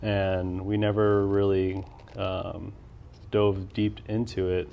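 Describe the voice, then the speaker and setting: Young male host, laid-back and conversational, low and gravelly. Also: level tone, slow pace, lo-fi sound, a little hiss or hum. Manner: laid-back, many murmured backchannels. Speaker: podcast host. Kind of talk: casual interview chat.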